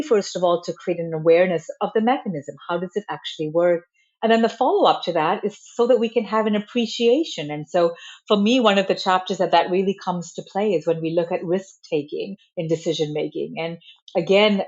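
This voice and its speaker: A woman psychologist from Malaysia, answering interview questions in a measured, explanatory way. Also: fairly low pitch, a little mellow, highs cut off. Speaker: psychologist guest.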